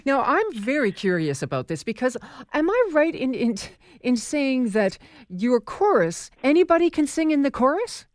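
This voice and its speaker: Lively woman radio host. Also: high voice, normal speed, few pauses, no room sound, clean studio sound. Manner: enthusiastic, warm interjections. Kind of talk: radio interview. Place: radio studio.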